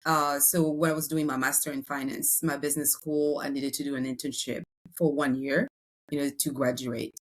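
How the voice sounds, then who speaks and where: A woman's voice: deep voice, little pitch movement, moderate pace, poor audio. A woman from Congo, raised in France, with a French accent, talking at length in a podcast interview as a real estate broker, with many fillers and restarts.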